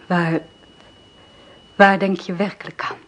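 A woman's voice: on the low side, flat delivery, slow pace, fairly bright tone. An actress playing a nervous young mother in a radio play, who stammers and hurries to dodge questions. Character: nervous young mother Sylvie, evasive and jumpy.

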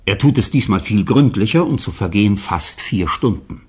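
Deep husky male narrator. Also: expressive, moderate pace, a little mellow. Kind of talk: scripted radio drama narration.

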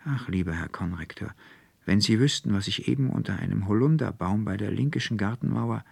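A man's voice: on the low side, brisk, gravelly, breathy edge, no room sound, voice pushed up, heavily acted. A man voicing a timid, dreamy young male student who speaks in a meek, plaintive, apologetic way, as in a storybook reading. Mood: meek, pleading.

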